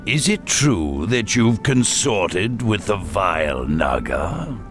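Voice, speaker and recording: Casting: contemptuous army commander, game cutscene, slow imperious delivery with big pitch swings, music underneath. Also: male voice, big pitch swings. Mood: stern, angry. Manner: haughty, barking orders. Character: arrogant human military commander, stern and contemptuous. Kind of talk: video game cutscene acting. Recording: music behind the voice.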